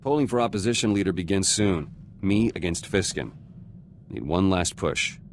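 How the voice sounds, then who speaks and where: A gruff rebel turned reluctant politician in a sci-fi game. His voice is very low, and he talks in blunt, clipped, dry phrases. Faint noise runs behind the dialogue. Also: animated, moderate pace. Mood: businesslike, determined. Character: gruff, terse male resistance fighter turned reluctant politician. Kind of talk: cinematic video game voice acting.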